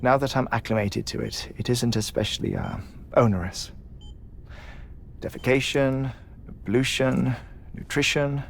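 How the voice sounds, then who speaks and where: Unhurried, gravelly eccentric, quietly melancholy. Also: male voice, mid-range voice, slight background noise. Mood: dry, matter-of-fact. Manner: hesitant, stammering, formal wordy speech. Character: eccentric, melancholy middle-aged man living in twenty-one-minute cycles. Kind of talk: video game cutscene acting.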